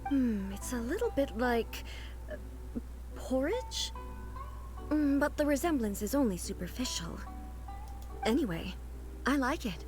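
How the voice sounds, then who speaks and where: A woman voicing a regal, composed goddess ruler in a game, speaking calmly and formally over game music. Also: sits high. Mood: serene. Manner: calm, formal, measured. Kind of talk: game character dialogue.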